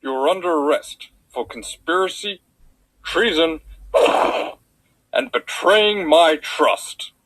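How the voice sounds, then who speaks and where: Man acting a slow, stern pony guard. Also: a little high, animated, a bit bright, no echo, full character voice, low-quality recording. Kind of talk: scripted cartoon character acting.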